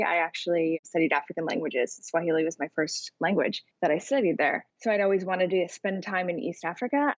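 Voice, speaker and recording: Female interview guest, lower voice, friendly and chatty, dull dry remote audio. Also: no echo. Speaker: guest, travel agency owner. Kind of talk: interview answers.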